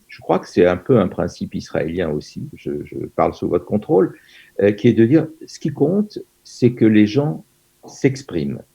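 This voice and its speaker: Older French man, dark gravelly voice, hesitant guest on a dull video call. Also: low-pitched. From France. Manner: hesitant, many fillers and restarts. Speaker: Yiddish teacher and guest. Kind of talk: interview over video call.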